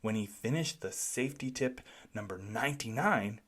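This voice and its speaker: A read-aloud narrator telling a children's storybook expressively, with deliberate, dramatic phrasing. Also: mid-range voice, animated, fairly bright tone.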